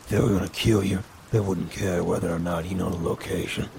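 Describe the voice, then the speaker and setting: A man's voice: low-pitched, fairly even intonation, a little fast, vocal fry, a little mellow, a little hiss or hum. A man voicing a kindly, fatherly old man who reassures a younger one. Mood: reassuring. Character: kindly old man, gruff pipe-smoking helper.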